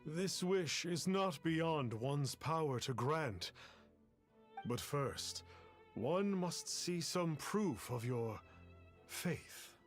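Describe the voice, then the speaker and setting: An actor voicing a masked self-styled sage who speaks in a grandiose, archaic way. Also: male voice, a little high, lively intonation, drawn-out words. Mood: lofty. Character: masked pompous fake sage, middle-aged man. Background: game music.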